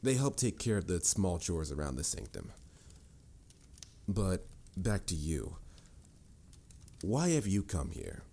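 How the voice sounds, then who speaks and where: A man's voice: sits low, huge pitch swings, takes it slowly, gravelly, long pauses, mellow, slight background noise, heavily acted. A man playing a stern, formal mage mentor with a dry, lecturing manner in a scripted fantasy audio roleplay. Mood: grave. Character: stern, dryly exasperated adult male mage mentor.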